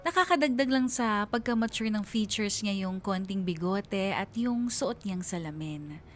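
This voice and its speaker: Actress as young Filipina narrating confessionally. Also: female voice, medium pitch, measured pace, soft background music, natural voice. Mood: reflective. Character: young college woman fondly narrating her love story. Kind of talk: confessional radio drama narration.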